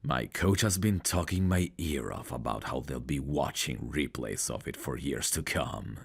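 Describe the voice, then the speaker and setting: A man playing a big, confident, flirtatious champion fighter in an intimate ASMR audio roleplay, speaking in a deliberate, teasing manner. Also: a bass voice, expressive, gravelly, husky, no echo, exaggerated voice. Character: big confident champion fighter, smooth, flirtatious, guarded about his past. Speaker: voice actor.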